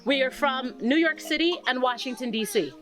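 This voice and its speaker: A woman's voice: high-pitched, normal speed, a bit bright, faint music behind. A woman who founded a youth travel program, speaking earnestly as she explains its purpose. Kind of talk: speaking to camera about her program.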